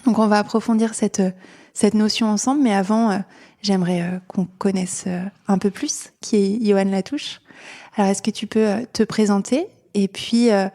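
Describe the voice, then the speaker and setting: A woman's voice: mid-range voice, fairly even intonation, moderate pace, dark tone. A woman hosting a podcast interview, speaking hesitantly with many fillers. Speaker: podcast host. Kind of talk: casual interview talk.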